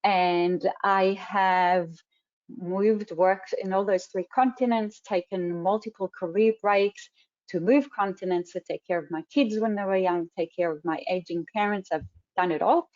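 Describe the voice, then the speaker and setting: A woman with an Israeli accent introduces a webinar in an unhurried, measured way, pausing on fillers. Her voice sits in a middle range. The audio comes through a computer mic on a video call and sounds a little dull. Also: medium pitch, a little mellow. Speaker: webinar host and career coach. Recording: slightly dull online audio.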